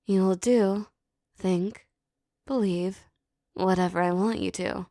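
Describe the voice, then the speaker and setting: A woman performing a scripted hypnosis roleplay, speaking softly and commandingly with a teasing edge. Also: medium pitch, steady tone, dry recording, clean studio sound. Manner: slow, soft, commanding and teasing.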